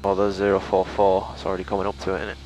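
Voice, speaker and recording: A man's voice: low-pitched, monotone, racing. A young adult man talking casually as a student pilot during flight training, speaking into a headset in a small plane. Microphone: aviation headset boom mic. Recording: headset intercom with engine noise.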